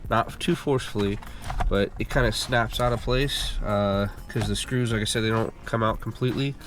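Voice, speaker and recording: A man with a low voice, stuffed up and congested, talks through a car repair job in a relaxed, rambling way with lots of ums and uhs. Faint music plays softly behind him. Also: normal speed. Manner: relaxed, filler-heavy explaining. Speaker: car repair channel host. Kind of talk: casual how-to walkthrough. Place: working at a car.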